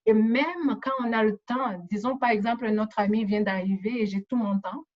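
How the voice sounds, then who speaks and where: A woman's voice: a little high, moderate pace, muffled recording. A woman moderating a community discussion, speaking spontaneously and hesitantly, often repeating words as she explains.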